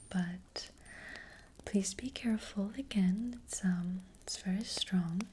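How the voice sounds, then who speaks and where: A woman playing a gentle fortune-telling witch in a whispered, breathy ASMR roleplay, speaking hesitantly and reassuringly close to the microphone. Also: fairly low pitch. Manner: hesitant, unhurried, softly reassuring. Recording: faint noise, soft clicks.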